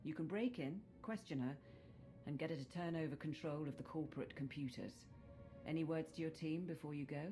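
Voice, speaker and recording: Actress as a low-voiced, businesslike female agent. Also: mellow, soft background music, natural voice. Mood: focused, businesslike. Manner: brisk, focused, professional. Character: businesslike female military agent briefing a covert mission. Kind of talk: cinematic video game dialogue.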